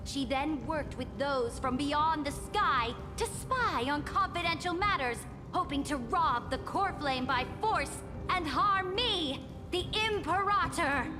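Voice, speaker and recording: A woman's voice: sits high, big-room sound. An actress playing a proud, imperious female emperor in a video game, speaking in grand, commanding formal oratory. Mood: stern accusation. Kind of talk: dramatic video game voice acting. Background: music.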